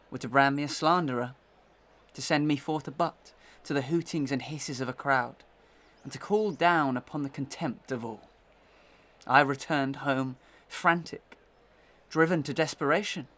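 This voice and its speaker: An actor playing a desperate, wronged gentleman accuser in a Victorian melodrama, earnest, impassioned and declamatory. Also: medium pitch, lightly acted. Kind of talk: Victorian melodrama audio play acting.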